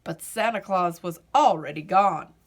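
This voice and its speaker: A woman reading a children's picture book aloud as storyteller, in an expressive, deliberate manner. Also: lowish voice.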